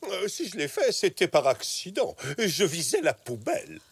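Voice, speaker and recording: A man's voice: high voice, huge pitch swings, normal speed, breathy edge, no echo, heavily acted. A man voicing a flustered, sheepish zebra father in a children's cartoon, hesitating and blustering through excuses. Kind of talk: French children's cartoon dubbing.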